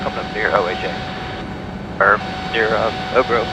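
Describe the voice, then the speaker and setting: A man's voice: fairly low pitch, steady tone, normal speed. An American man, a pilot and vlogger, talking casually and making radio calls on a headset from a small airplane cockpit. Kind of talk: aviation radio calls and casual vlog talk. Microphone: aviation headset via audio cable. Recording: loud small aircraft engine noise.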